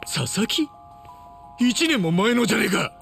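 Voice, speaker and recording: A man's voice: high-pitched, very animated, unhurried, a bit bright, faint music behind, full character voice. An actor playing a blunt, hot-headed but honest middle-aged ex-yakuza man in a video game drama. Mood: indignant. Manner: blunt, rough street speech.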